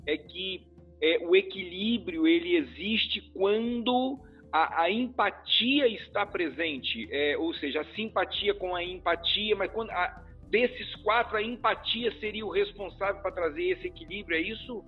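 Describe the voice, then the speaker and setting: A man's voice: high voice, a little slow, slightly rough. A man with a Brazilian Portuguese accent hosting a podcast, asking questions in a hesitant, thinking-aloud way. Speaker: podcast host. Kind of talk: podcast interview. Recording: dull lo-fi audio with faint music.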